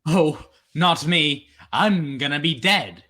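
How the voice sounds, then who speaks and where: A man playing a weary, soft-hearted radio studio worker who talks in a chiding way, in 1940s radio drama style. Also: on the high side, lively intonation, takes it slowly, breathy edge, full character voice.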